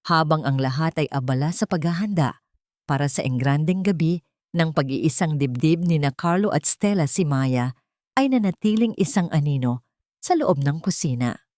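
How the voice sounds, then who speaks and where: Woman reading a dramatic audio story, pretty low voice, dry close recording. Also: animated, moderate pace, a little mellow, no echo. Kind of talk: scripted dramatic story narration.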